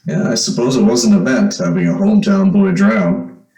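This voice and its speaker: In a roomy, echoing sound with music underneath, a streetwise teenage counterman played by a man talks in slangy, guarded radio-play style. His voice has a gravelly edge and swings freely in pitch. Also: very animated, moderate pace, crowd noise. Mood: guarded, agreeable. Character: streetwise seventeen-year-old diner counterman, wary but agreeable. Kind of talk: old-time radio drama acting and narration.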